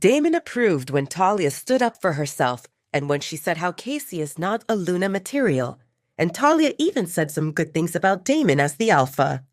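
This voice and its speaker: A woman's voice: on the low side, big pitch swings, normal speed, no room sound, studio quality. A woman narrator telling a story in a dramatic audiobook style.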